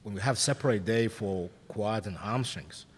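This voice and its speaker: Lowish-voiced man in an echoey hall. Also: normal speed. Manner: blunt, sweary, rambling. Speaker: podcast host. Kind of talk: casual chat. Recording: echoey hall, faint rumbling noise.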